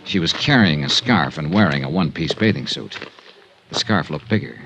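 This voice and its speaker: An actor playing a wry, tough investigator, dry and clipped, narrating and acting in a 1950s radio drama. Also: male voice, very deep voice, animated, moderate pace. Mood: wry narration. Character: wry, tough hard-boiled male investigator. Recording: muffled, noisy old radio recording.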